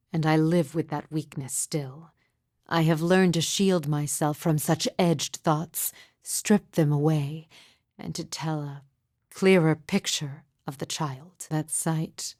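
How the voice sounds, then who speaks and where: A woman playing a grieving, mystical midwife in a fantasy game, speaking in a solemn, formal, measured way with deliberate pauses. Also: deep voice, a little mellow, dry recording, studio quality. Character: grieving mother, solemn sorrowful mystic midwife with haunted calm. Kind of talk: scripted fantasy game dialogue.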